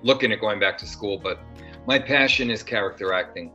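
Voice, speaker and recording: An older man talks at a low pitch with a bright, forward edge to his voice. He rambles, stopping and restarting his sentences with plenty of ums. The sound is dull and lo-fi, with faint music underneath. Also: brisk. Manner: rambling, many fillers and restarts. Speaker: actor guest. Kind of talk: casual interview.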